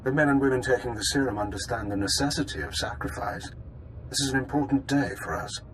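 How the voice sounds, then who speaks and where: A man performs a cold terrorist commander for a game scene. His voice is medium in pitch, and he speaks formally with unfeeling authority. There is faint noise in the background. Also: quick pace, fairly bright tone, natural voice, low-quality recording. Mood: cold, proud. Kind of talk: scripted game cutscene acting.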